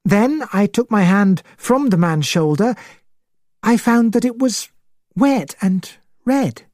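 A man voicing an excitable, shocked middle-aged schoolteacher with a high voice in a storybook reading. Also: slow, dry recording, studio quality. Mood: anxious. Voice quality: high-pitched. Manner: excited, anxious.

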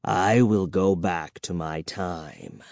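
A male narrator plays an ancient vampire count from a spooky storybook, cold and imperious. The voice is low and mellow, with words drawn out slowly in soft menace. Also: no room sound, studio quality. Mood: bitter, hissing.

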